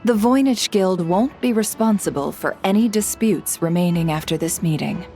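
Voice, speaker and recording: An actress playing a cool, shrewd, self-assured guild boss in a video game, concise and businesslike. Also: female voice, lowish voice, expressive, normal speed, dark tone, faint music behind. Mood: shrewd, poised. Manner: poised, concise, dryly businesslike. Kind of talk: video game character acting.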